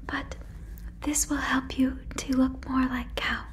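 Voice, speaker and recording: A woman voicing an earnest, protective alien in an ASMR roleplay, whispering breathily close to a microphone with halting, stilted phrasing. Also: sits high, little pitch movement, a little hiss or hum. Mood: curious, helpful. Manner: halting, stilted phrasing like a learner of human words. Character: earnest female alien, protective, gently convinced listener is a cow. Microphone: fluffy microphone, very close.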